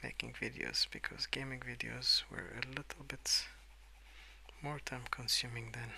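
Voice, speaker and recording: A young adult man softly rambling in a breathy half-whisper for ASMR, relaxed and unhurried, close to the microphone. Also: medium pitch, a little hiss or hum. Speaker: ASMR video creator. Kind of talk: soft-spoken ASMR rambling while colouring.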